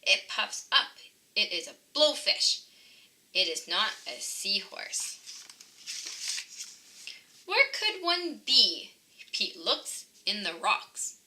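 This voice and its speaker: Female picture-book narrator, unhurried, sing-song and expressive, faint noise behind. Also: medium pitch. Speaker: read-aloud narrator of a children's picture book. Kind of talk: scripted storybook narration.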